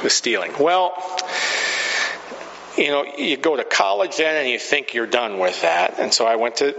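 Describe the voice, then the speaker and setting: A man's voice: mid-range voice, normal speed, creaky, a bit bright, slight background noise. A male pastor from the Pacific Northwest telling a story sermon, chatty and self-deprecating, laughing at his own stories. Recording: dull sound with long hall reverb.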